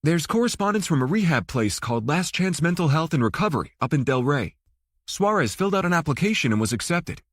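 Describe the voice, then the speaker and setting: A man's voice: a little high, very animated, a little fast, dry recording, studio quality, pitched above the performer's own voice. A man voicing a casual, eager young male sidekick in an audiobook thriller. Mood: eager, questioning.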